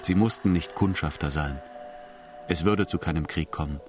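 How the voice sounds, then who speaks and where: Deep male radio drama narrator. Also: normal speed, noisy background. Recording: music behind the voice.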